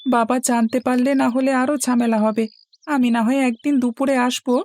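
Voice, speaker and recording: A woman's voice: sits high, fairly even intonation, fairly quick, a little mellow, natural voice. A woman voicing an affectionate, anxious young boy, a little brother in a fairy-tale cartoon. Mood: earnest.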